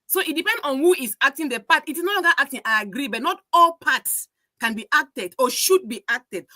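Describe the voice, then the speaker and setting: A woman's voice: high-pitched, animated, fairly quick, sharp tone, no room sound, clean studio sound. A woman with broadcasting training chatting casually on a podcast. She is opinionated and slips into pidgin. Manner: opinionated, mixes pidgin into her English. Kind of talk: casual chat.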